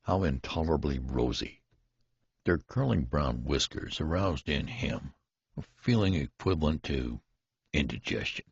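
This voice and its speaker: A man's voice: very deep voice, lively intonation, a little slow, gravelly, husky, no echo, muffled recording. A man reading a literary story aloud as scripted audiobook narration. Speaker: audiobook reader.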